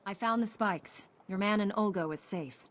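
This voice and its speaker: A woman's voice: mid-range voice, normal speed, natural voice. A woman playing a principled young Jedi knight in a video game, speaking in a composed, formal, firm manner. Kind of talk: scripted video game dialogue.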